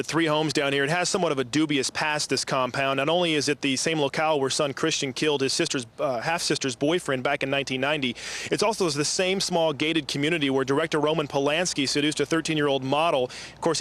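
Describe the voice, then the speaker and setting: A man's voice: a little high, a bit bright. An American man reporting live on location for television news, improvising and correcting himself as he goes. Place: outdoors on location.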